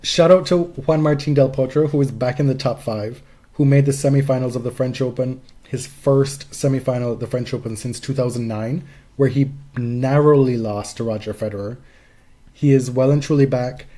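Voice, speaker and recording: A man with a relaxed, mellow timbre and middling pitch talks casually as a sports podcast co-host. He states his opinions emphatically and swears freely. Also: normal speed. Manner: emphatic, frequent profanity.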